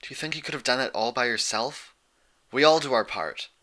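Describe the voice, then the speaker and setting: A man's voice: medium pitch, a little fast, pushed and bright, voice pushed up, lightly acted. A man voicing an earnest, exasperated young female unicorn pony in a storybook reading, insistent and pleading.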